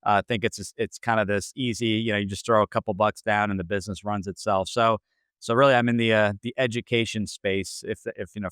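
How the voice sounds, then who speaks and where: A man in his forties from New Jersey speaks as a franchise consultant in a low, smooth voice. He hesitates often and restarts his phrases, and the sound is dry and close. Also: a bit bright, no room sound. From Central New Jersey. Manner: hesitant, many fillers and restarts. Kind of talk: casual interview answers.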